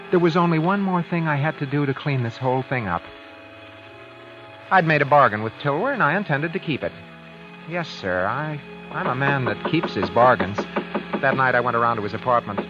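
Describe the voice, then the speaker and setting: Male actor as cold self-satisfied murderer, middle-pitched, clipped, impatient, vintage radio acting, dull old recording. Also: lightly acted. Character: cold, self-satisfied man who got away with murder. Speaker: actor narrating in first person as the lead. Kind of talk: 1940s-50s radio acting.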